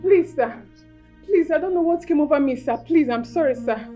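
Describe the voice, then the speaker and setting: A woman's voice: sits high, animated, moderate pace, warm tone, soft background music. A woman with a Nigerian accent, playing a frightened house help who pleads desperately with her employers. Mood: pleading. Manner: desperate pleading, begging repeatedly. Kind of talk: skit drama acting. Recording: voice distant from the mic.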